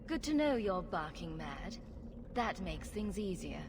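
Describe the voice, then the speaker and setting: A woman's voice: fairly low pitch, big pitch swings, measured pace, big-room sound, noisy background. An actress playing a bold, sarcastic female rogue adventurer in a video game, with a dry, wry and direct delivery.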